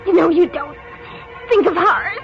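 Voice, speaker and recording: An actress playing a frightened, pleading former wife in vintage radio horror, with melodramatic delivery. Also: female voice, very high-pitched, moderate pace. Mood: terrified pleading. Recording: muffled old radio recording, music behind.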